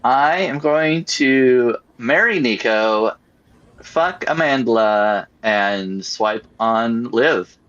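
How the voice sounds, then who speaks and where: A man's voice: mid-range voice, animated, drawn-out words, a bit bright. A man chatting casually in a laid-back way. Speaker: podcast co-host. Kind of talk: casual chat. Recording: muffled lo-fi audio.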